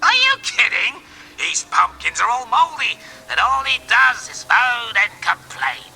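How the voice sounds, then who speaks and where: A scornful wizard villain with a piercing, sharp voice full of gravel. He snaps irritably and theatrically, swinging up and down in pitch, like a cartoon game baddie. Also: huge pitch swings, unhurried, faint music behind, full character voice, low-quality recording. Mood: scornful, irritated. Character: grumpy, scornful middle-aged human wizard alchemist villain. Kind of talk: animated video game voice acting.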